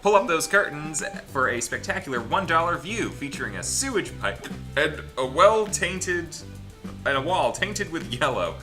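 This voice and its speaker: A mid-pitched narrator reads visual novel text aloud for a casual gaming video. The delivery is playful and dramatic, broken by stumbles and wry asides. Music plays clearly behind the voice. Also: very animated, moderate pace, sharp tone.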